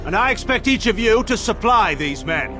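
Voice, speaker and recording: A military lord in a fantasy game cutscene, his voice raised high as he declaims forcefully. Music plays clearly behind his commanding public address. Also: expressive, normal speed. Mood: urgent, commanding. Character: commanding military lord rallying nobles to war.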